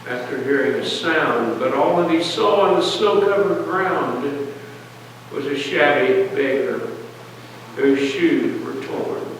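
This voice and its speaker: A man reading a narrative poem aloud like a storyteller at a church service, deliberate and pausing between short phrases. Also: medium pitch. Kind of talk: dramatic poetry reading, storytelling. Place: large church hall, heard from a distance. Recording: distant, echoey church hall, faint noise.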